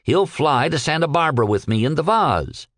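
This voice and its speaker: A man's voice: fairly high pitch, lively intonation, normal speed, fairly bright tone, no echo, clean studio sound, voice pushed up. A man voicing a dogged, weary errand man in an audiobook, earnest and respectful, pleading his case with polite insistence. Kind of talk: audiobook character dialogue.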